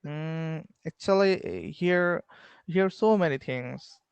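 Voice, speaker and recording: A man from Bangladesh with a Bangladeshi accent, a learner on a video call in an English lesson, speaking haltingly with many fillers and restarts. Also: fairly high pitch, gravelly, clear, resonant tone, dry recording, muffled recording. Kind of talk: online English lesson conversation.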